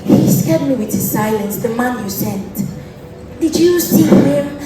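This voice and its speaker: Stage noblewoman played by a woman: high, slow, theatrical, lovestruck and commanding. Distant, echoing theatre sound. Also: expressive. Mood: anxious longing. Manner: dramatic, drawn-out, declamatory delivery. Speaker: student stage actor. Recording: echoey theatre from far off, music.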